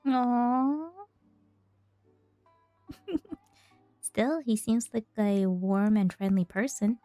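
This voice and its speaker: A woman streaming a game talks casually and slowly. Her voice is mellow and slightly high, recorded close and dry. She reacts to the game in an easy, conversational way. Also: fairly even intonation, no echo, soft background music.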